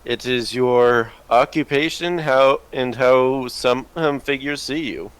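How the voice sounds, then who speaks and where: A calm old bearded stranger, played by a man, speaks in an unhurried, measured way. He asks searching, philosophical questions. The voice sits at an ordinary middle pitch. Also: smooth, dry recording, close to an everyday voice, rough recording. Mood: probing.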